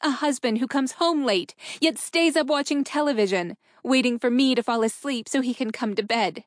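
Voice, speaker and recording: A woman's voice: high voice, normal speed, no echo, voice pushed up, lightly acted. In a dry recording, an anguished wife acted out by a woman narrator confronts her husband in audiobook dialogue. She is accusing and emotional, demanding the truth with open hurt and anger.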